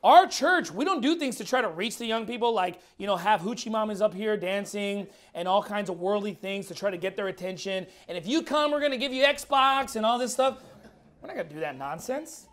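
A man's voice: high voice, expressive, a little fast, fairly bright tone. A man in his thirties preaching a fiery, blunt sermon in a church while losing his voice. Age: 30s. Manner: emphatic, blunt, repeats words. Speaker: pastor.

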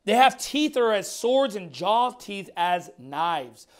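A man's voice: sits high, very animated, slow pace, clear, resonant tone. A man in his thirties preaching a fiery, blunt sermon in a church while losing his voice. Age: 30s. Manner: emphatic, blunt, repeats words. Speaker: pastor.

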